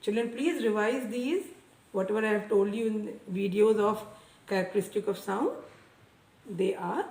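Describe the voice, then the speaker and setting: A woman teaching a science lesson to children, speaking loudly and deliberately and repeating key terms. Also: medium pitch, steady tone. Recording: echoey room, voice a bit far from mic, faint noise.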